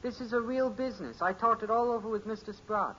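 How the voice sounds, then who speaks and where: An actor playing an eager, boastful teenage son in a 1950s radio sitcom, earnest and self-important. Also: male voice, sits high, brisk, a bit bright. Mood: defensive. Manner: earnest, self-important, hesitant under questioning. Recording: dull, hissy old radio recording.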